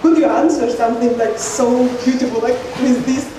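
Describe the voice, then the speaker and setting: A woman from Lithuania with a Russian accent asks an audience question haltingly, searching for words. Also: on the high side. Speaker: audience member asking a question. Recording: echoey hall, distant mic, faint noise.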